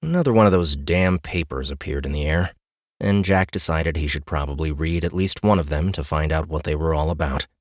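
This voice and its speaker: A man with a deep, low voice narrates a fantasy audiobook. The sound is dry, with no room around it. He reads prepared prose as a storyteller. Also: brisk, no echo.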